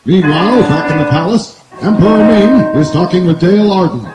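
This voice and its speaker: Casting: male actor as dramatic serial announcer, raised pitch, urgent, live roomy sound with music underneath. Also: animated, moderate pace. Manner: urgent, theatrical. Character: excited old-time radio serial announcer. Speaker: narrator. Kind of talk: dramatic scripted radio serial narration. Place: outdoor woodland stage at night. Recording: echoey live stage over music.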